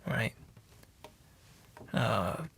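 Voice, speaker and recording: A man playing a chatty, nervous bartender in an ASMR noir roleplay, speaking softly and often in whispers with tough-guy slang. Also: extremely slow.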